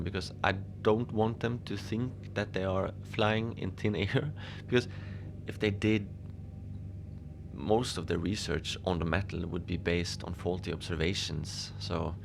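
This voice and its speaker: Casting: male actor as unbothered lone polar station keeper, deep-voiced with gravelly fry, deadpan musings for a fiction podcast radio log. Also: background noise. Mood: mild, pondering. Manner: musing, conversational, deadpan about absurd things. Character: easygoing, whimsical lone Antarctic station manager, rambling unbothered. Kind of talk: first-person audio fiction monologue, rambling radio log.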